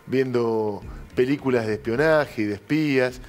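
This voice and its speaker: A man's voice: mid-range voice, moderate pace. Argentine male TV host, emphatic. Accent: Argentine Spanish. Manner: emphatic storytelling, addresses co-host by name. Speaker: TV political show host. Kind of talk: studio talk show conversation. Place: TV studio.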